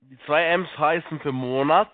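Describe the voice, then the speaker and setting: Guy with a highish voice on a thin phone line, call center agent walking someone through steps, keeps repeating himself. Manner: halting, repeats himself, spells things out slowly. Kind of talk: step-by-step phone sales instructions. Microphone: telephone. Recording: tinny narrow phone line.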